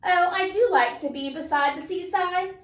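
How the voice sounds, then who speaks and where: A woman voicing a cheerful, adventurous schoolteacher in a storybook read-aloud, with enthusiastic, drawn-out emphatic phrases. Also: very high voice, fairly bright tone, voice pushed up. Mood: bright, eager. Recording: echoey room, distant mic.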